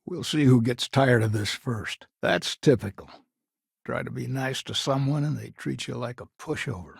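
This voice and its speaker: An actor voicing a proud, stubborn retired patriarch of a frontier founding family in a video game, gruff and folksy, with a gravelly, husky voice. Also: male voice, medium pitch, normal speed, no room sound, studio quality. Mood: irritated. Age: older. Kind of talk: video game character dialogue.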